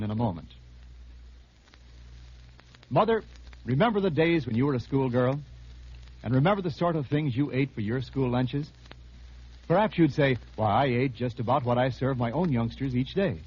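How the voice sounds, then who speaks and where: A man's voice: mid-range voice, animated, moderate pace, pauses often, slightly rough. A man announcing and reading an advertisement in 1950s radio style. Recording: dull vintage 1950s radio recording.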